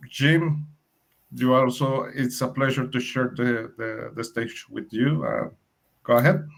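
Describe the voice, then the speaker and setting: Colombian guy, spanish is his first language, friendly and halting, repeats himself on a video call. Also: mid-range voice, vocal fry. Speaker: co-presenter. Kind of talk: webinar presentation.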